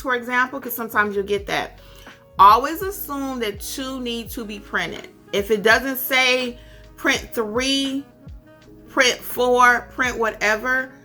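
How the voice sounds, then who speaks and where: An American woman with a bright, forward voice teaches in a tutorial style. She leans on key points and repeats them for emphasis. Faint music plays behind her. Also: on the high side. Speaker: instructor and host of tutorial videos for notary signing agents. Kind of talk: instructional talk with acted-out example phone calls.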